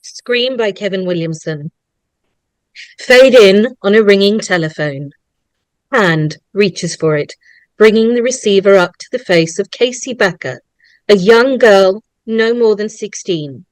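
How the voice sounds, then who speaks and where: A woman's voice: medium pitch, expressive, measured pace, darkish tone, dry recording. A woman reading stage directions as the narrator of an online script read-through. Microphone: video call microphone.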